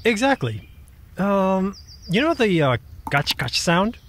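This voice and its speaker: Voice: medium pitch, very animated, slow pace, a bit bright, a little hiss or hum. An actor playing a nervous, grumbling tanuki spirit in an audio drama, flustered and exasperated, explaining things as someone who knows. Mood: explanatory, uneasy. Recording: music behind the voice.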